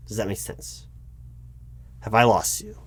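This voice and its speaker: A man's voice: fairly low pitch, big pitch swings, measured pace, slightly rough. A man playing a recruiting manager in an ASMR job interview roleplay, patient and a bit exasperated, with many ums and restarts. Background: keyboard typing.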